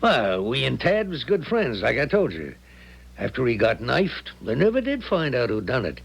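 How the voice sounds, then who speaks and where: A purposeful, scheming ex-con in a vintage radio play. He sounds creaky and husky, with lively pitch swings and slangy street talk. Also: normal speed, full character voice. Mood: insistent. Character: bossy, scheming ex-convict in his late thirties. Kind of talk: 1970s radio drama acting.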